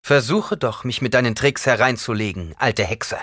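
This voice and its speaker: A man's voice: on the low side, very animated, a little fast, fairly bright tone, no room sound, studio quality. A male narrator voicing a defiant, commanding young man in an audiobook, declaiming with scorn and force. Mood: scornful, defiant.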